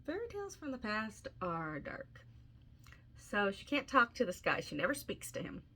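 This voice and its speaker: A woman's voice: mid-range voice, wildly swooping pitch, normal speed, pushed and bright, slight background noise. Casual, wry woman retelling a fairy tale. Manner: informal, wry asides. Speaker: podcast host and author.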